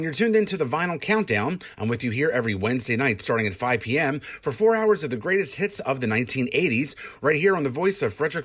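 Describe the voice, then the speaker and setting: A man's voice: medium pitch, a bit bright. A man hosting live college radio as a DJ, with upbeat presenter patter. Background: music.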